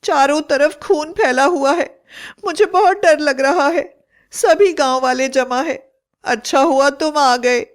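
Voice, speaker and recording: A woman voicing an anxious, frightened village wife in an animated storybook tale. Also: sits high, slow pace, pitched above the performer's own voice. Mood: frightened, alarmed. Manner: anxious, alarmed. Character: frightened young village wife pleading with husband.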